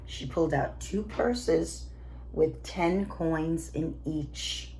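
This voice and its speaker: A woman reading a children's storybook aloud as a teacher, in an expressive narrating style. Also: low voice, drawn-out words, gravelly, rough recording.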